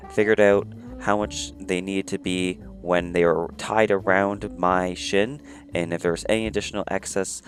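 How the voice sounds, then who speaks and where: A sick man with a raspy, monotone voice giving step-by-step instructions in a voice-over for a craft tutorial. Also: low voice. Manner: plain step-by-step instructions, many "you're gonna". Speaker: cosplay prop maker, channel host. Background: faint music.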